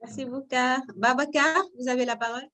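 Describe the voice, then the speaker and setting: Female moderator, online session, fairly high voice, courteous. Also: steady tone, brisk, bright, forceful tone. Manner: polite, hesitant, repeats words. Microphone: video call.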